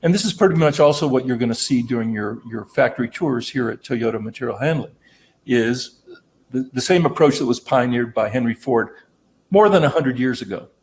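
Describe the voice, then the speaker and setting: A man giving a training presentation on manufacturing, explaining in a relaxed, conversational way and often checking in with "right?". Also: mid-range voice, a little fast, a little hiss or hum, poor audio.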